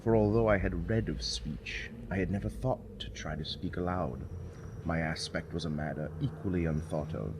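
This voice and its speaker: Deep-voiced man solemnly reading gothic horror, noisy background. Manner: solemn, measured, brooding. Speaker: story narrator. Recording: noisy, with ambience underneath. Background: spooky ambience.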